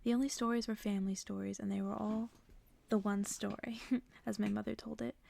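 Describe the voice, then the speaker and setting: Whispering, creaky-voiced woman reading a bedtime story. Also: mid-range voice, level tone, a little fast. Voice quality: soft, breathy whisper. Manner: hushed, gentle narration. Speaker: ASMR creator reading stories aloud. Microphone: close-up microphone.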